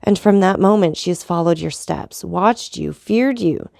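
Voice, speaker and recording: A woman's voice: on the low side, a little slow, creaky, a little mellow, no room sound, clean studio sound. A woman reading an audiobook voices a noblewoman in despair, pleading anguished for her son's life.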